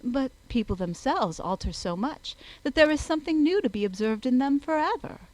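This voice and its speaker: A woman's voice: sits high, animated, normal speed, dry recording, voice pushed up, low-quality recording. Woman playing witty young lady. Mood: playful, confident. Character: witty, playful, self-assured young lady.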